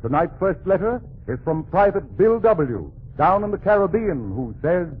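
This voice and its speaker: A man's voice: high-pitched, sing-song, moderate pace, husky. A man announcing a 1940s radio show from a script in an emphatic, declamatory way. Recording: muffled vintage 1940s radio broadcast, faint music.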